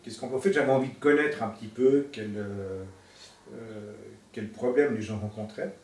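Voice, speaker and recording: A man around fifty giving an interview testimonial in a halting, hesitant way. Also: medium pitch. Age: 50s. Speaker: civil engineer, volunteer. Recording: roomy, distant mic, faint noise.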